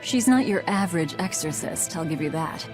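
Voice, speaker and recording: A woman's voice: on the low side, expressive, moderate pace, music in the background, lightly acted. A woman playing a brusque, guarded, sardonic young female fighter in a video game.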